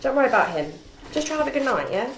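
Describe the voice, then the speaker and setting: A blunt young party girl, played by a woman at a table read with an animated, swinging delivery. The room is echoey, with clear noise behind her. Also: high-pitched, quick pace. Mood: breezy. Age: young adult. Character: Meg, blunt sweary party-loving young woman student. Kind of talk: naturalistic student film table read.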